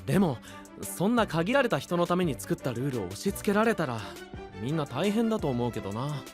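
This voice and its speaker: A level-headed young knight from an anime audio drama. His delivery is casual and dryly sceptical, with lively swings of pitch on each retort, over soft background music. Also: fairly quick. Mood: exasperated, sceptical. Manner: casual, dry questioning, exasperated retorts. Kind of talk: anime-style voice drama acting.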